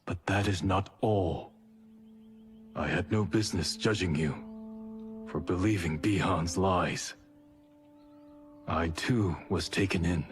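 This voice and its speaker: An actor playing a solemn, honorable warrior clan leader in a video game, speaking gravely and formally. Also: male voice, low-pitched, slow pace, pauses often. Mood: earnest, forgiving. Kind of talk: cinematic video game dialogue. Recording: faint music behind the voice.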